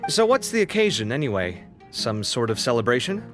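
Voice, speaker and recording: A genial, well-mannered young gentleman from a video game, voiced by a man. He has a mid-pitched, animated delivery, with music clearly playing behind him. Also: brisk, lightly acted. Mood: polite, friendly. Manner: courteous, expressive.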